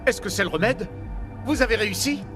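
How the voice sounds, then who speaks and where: Casting: plain-spoken game medic, fairly high voice, earnest, music behind. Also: male voice, expressive, brisk. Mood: worried, helpful. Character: earnest, grateful male doctor in a research lab. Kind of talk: dubbed video game dialogue.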